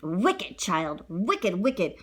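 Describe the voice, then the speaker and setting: A woman's voice: medium pitch, big pitch swings, extremely slow, slightly rough, exaggerated voice. A woman doing a cold, scolding stepmother. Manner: scolding, haughty. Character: cold stern stepmother, middle-aged woman.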